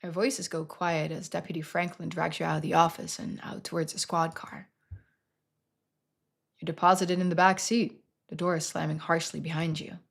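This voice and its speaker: A woman's voice: low voice, flat delivery, moderate pace, creaky. A woman reading scripted second-person narration aloud as she plays a story game.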